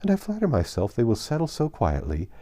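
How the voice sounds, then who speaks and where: A man reads the part of a forgiving young elder sister in a classic storybook. She reasons gently and earnestly in a mellow voice with a varied, lilting melody. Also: medium pitch, huge pitch swings, fairly quick. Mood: gentle, reassuring.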